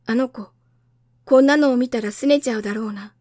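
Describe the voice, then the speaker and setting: Woman as small boy prince, high voice, childlike, wistful, naive, storybook reading. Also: a little fast, darkish tone, no room sound, studio quality, lightly acted. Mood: worried.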